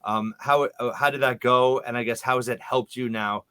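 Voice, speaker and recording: A male podcast host conducting a sports interview. He speaks conversationally, in a measured, slightly halting way, at a middling pitch for a man. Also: fairly even intonation, bright, forceful tone, clear, resonant tone.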